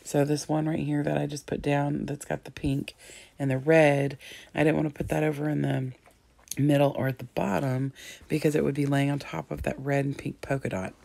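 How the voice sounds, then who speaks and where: A hoarse woman in her thirties chatting through a scrapbooking tutorial, rambling with frequent ums and throat-clearing. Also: rumbling low, mellow. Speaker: scrapbooking crafter. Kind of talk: casual craft tutorial narration.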